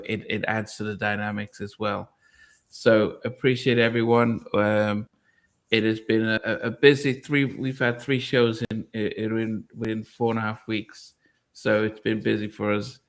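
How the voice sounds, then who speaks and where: A man hosting a casual podcast, talking loosely with many fillers and restarts and laughing easily. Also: fairly low pitch. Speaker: podcast host.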